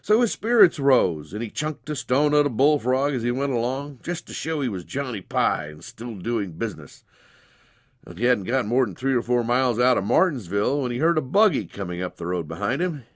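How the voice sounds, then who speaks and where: A man's voice: mid-range voice, sing-song, brisk, no echo, studio quality. A man narrating a dramatized story in a folksy, conversational way. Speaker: narrator, audiobook reader.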